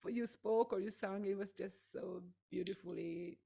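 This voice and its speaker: A woman's voice: mid-range voice, normal speed, creaky, warm tone, husky. A woman with a Hungarian accent, a native Hungarian speaker, hosting an interview over a video call, effusive and laughing often. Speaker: host, voice teacher.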